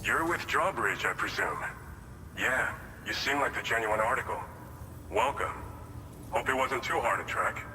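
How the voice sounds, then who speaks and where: A friendly facility worker. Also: male voice, medium pitch, big pitch swings, measured pace, pauses often. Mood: welcoming. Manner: friendly, easygoing greeting. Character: welcoming male facility worker. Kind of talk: video game cutscene acting. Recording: reverberant game audio with clear noise.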